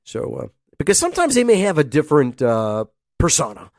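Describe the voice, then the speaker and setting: A man's voice: on the high side, huge pitch swings, a little fast, gravelly, darkish tone, no room sound, clean studio sound. A man hosting a casual solo podcast, sounding nasal from a head cold, rambling and chuckling as he talks. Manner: rambling, chuckles often, acts out phone calls. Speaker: podcast host.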